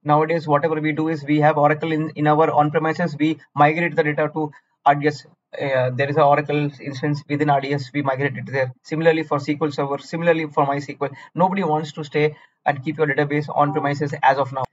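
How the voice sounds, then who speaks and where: Casting: Indian man, rapid blunt tutor voice, slightly higher pitch, dull lo-fi voice-over. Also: level tone, a little hiss or hum. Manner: blunt, repetitive, scolding lecturing. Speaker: cloud certification tutor. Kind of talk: screen-recorded exam walkthrough.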